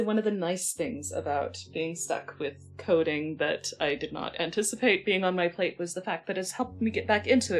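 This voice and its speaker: A woman who develops games, chatting casually as a stream co-host in a playful, teasing way. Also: lowish voice. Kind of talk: casual chat. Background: background music.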